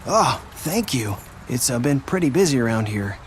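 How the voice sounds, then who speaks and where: A man's voice: medium pitch, very animated, moderate pace, heavy background noise, lightly acted. An actor playing a mild, friendly, slightly awkward man in a video game, casual and hesitant. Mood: polite awkward.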